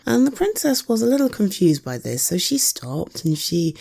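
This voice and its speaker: A woman's voice: medium pitch, big pitch swings, moderate pace, vocal fry, warm tone. A woman with an English accent improvising an interactive bedtime story in a playful ASMR style, as if chatting with a listener.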